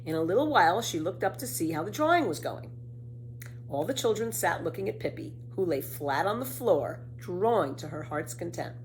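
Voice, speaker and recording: A woman reading a children's story aloud as narrator, in an expressive way. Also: on the low side, normal speed, slight background noise, rough recording. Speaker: storybook reader.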